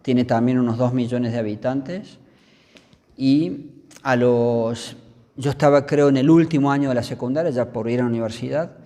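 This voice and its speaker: A man in his fifties with an Argentine accent, telling his story in a measured, pausing way as a priest being interviewed. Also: mid-range voice, fairly even intonation, dark tone. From Córdoba, Argentina. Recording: long reverberant hall.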